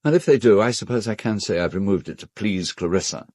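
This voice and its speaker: An actor playing a fastidious, polite British gentleman host in an audio drama, measured and slightly diffident. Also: lowish voice, lively intonation, dry recording, studio quality. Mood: discreet, troubled. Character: courteous, fastidious gentleman host of an island estate.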